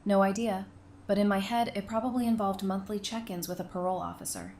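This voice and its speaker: A woman acts out a guarded therapist in an audio drama. She speaks at a medium pitch with dry, blunt, challenging wit. A faint noisy ambience sits behind her voice. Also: fairly even intonation, fast, a little mellow, natural voice. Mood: challenging, wry.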